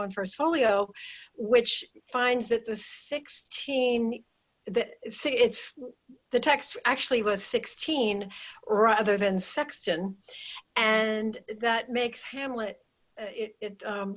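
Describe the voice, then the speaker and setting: A woman with a mid-range voice shares remarks in an online discussion. She speaks haltingly, doubling back and restarting phrases as she builds her point. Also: mid-range voice, a bit bright. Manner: halting, repeats and restarts while making a point. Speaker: participant, former teacher. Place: video call.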